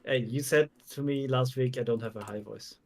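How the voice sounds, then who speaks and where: A man's voice: medium pitch, steady tone, normal speed, darkish tone, a little hiss or hum. A man chatting casually as a co-commentator on a livestream. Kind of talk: casual chat.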